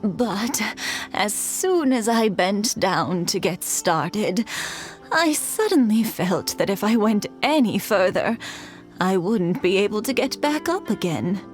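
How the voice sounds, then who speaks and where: A woman voicing a kindly, weary elderly villager in an anime video game, gentle and grandmotherly. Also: mid-range voice, lively intonation, takes it slowly, faint music behind.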